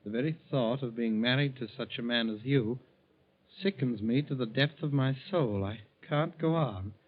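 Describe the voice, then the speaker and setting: A medium-pitched man acting as an evasive husband in an old radio drama. He stammers and stays guardedly polite, sounding uneasy. The sound is very narrow and tinny, like a 1940s broadcast. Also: normal speed, close to an everyday voice. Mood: subdued, nervous. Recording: tinny, band-limited 1940s radio recording.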